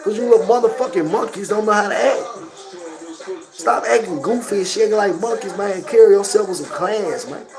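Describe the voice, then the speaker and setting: A man with a Southern Memphis accent, his voice hoarse from a cold and breaking into coughs. He pitches high for a man and talks loose and profane straight to a phone camera. Music plays clearly under him the whole time. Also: brisk. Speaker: vlogger reviewing a movie. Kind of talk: casual spoken movie review.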